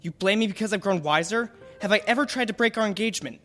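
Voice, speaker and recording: A man's voice: sits high, quick pace, fairly bright tone. An actor playing a proud, defensive young man of business in a radio drama. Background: music.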